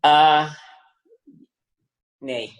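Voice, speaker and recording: A man in his sixties from the Philippines, a guest on a podcast, relaxed and joking in his answers. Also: a little high, extremely slow, roomy sound. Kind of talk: casual interview.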